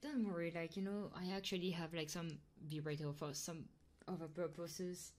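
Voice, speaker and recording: A woman's voice: lowish voice, steady tone, moderate pace, darkish tone. A woman chatting casually to her live audience on an ASMR stream, often checking in with her listeners in a coaxing way. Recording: clear buzzing electric motor noise. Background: buzzing hum of a handheld massager.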